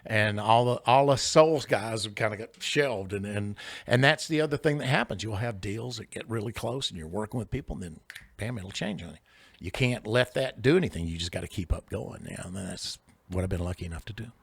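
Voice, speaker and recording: Older male singer-songwriter guest. Gravelly, big bluesy voice, chatty and anecdotal, dry close studio sound. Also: on the low side, fairly quick, no echo. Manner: chatty anecdotal, jokey asides. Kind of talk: casual interview storytelling. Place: recording studio.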